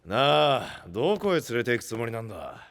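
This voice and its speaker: An actor playing a gruff, proud but soft-hearted big-brother boss knight in an anime voice drama, with rough tough-guy speech. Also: medium pitch, sing-song, moderate pace, big-room sound, slight background noise. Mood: suspicious, worried. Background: faint background music.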